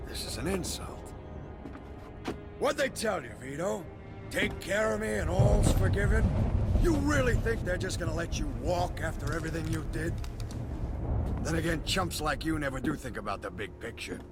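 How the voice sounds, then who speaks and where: An actor playing a contemptuous middle-aged mob boss in a game cutscene, taunting and sneering. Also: male voice, a little high, lively intonation, moderate pace, lightly acted. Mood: mocking. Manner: sneering, taunting, builds to shouting. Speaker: game character. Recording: game cutscene over loud music.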